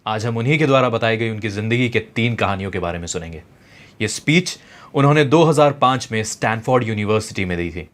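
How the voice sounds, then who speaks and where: A man reading a scripted motivational story in a measured, storytelling way. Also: lowish voice, lively intonation, a bit bright. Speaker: narrator. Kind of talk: scripted motivational narration of a translated speech. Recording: echoey, long reverb, music behind. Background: background music.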